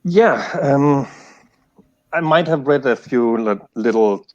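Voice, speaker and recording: A man's voice: mid-range voice, expressive, slow, darkish tone, highs cut off. A man from Denmark, a conference panelist, talking through his reading history in a casual academic discussion, hesitant and full of fillers. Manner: hesitant, thinks aloud with many fillers.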